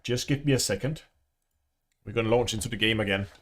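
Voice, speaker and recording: A man's voice: fairly low pitch, quick pace, fairly bright tone. A man with a Danish accent hosting a casual gaming livestream, chatting with viewers and laughing often. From Denmark. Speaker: livestream host.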